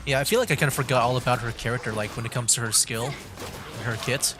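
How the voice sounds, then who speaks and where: A man's voice: mid-range voice, steady tone, quick pace, very noisy. A man giving casual livestream gameplay commentary, thinking aloud in an easygoing way as game music plays behind him. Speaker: game streamer.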